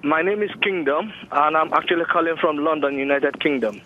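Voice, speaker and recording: Male caller, measured and formal, somewhat high-pitched, pauses often, phone-band sound. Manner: formal, deliberate, pausing. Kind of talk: measured commentary on a talk show call. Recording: narrow-band telephone line.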